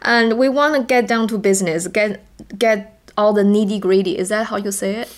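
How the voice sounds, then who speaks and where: A woman's voice: medium pitch, moderate pace. A woman with a Chinese accent, English not her first language, co-hosting a casual podcast and often restarting words as she searches for phrasing. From China. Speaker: podcast co-host.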